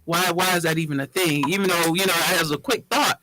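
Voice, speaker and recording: A man's voice: a little high, steady tone, quick pace, sharp tone, slight background noise. A man hosting a casual panel, thinking aloud and posing questions to the others. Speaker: panel host.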